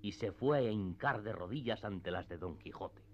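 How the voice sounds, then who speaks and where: A man's voice: on the low side, lively intonation, moderate pace, lo-fi sound, a little hiss or hum. A man narrating a literary radio drama from a script in an archaic storytelling style.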